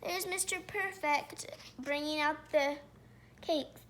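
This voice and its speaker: A woman reading a children's picture book aloud, pausing to point out pictures and put questions to listeners. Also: high-pitched, fairly bright tone, a little hiss or hum. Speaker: storybook reader.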